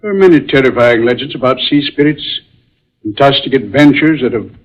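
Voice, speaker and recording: A man's voice: mid-range voice, fairly quick, lightly acted. Actor as an agitated, superstitious father, muffled vintage sound. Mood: ominous, awed. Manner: theatrical, agitated, ominous. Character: irritable, superstitious older father, a movie director. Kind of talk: 1940s radio drama acting. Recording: dull vintage radio recording.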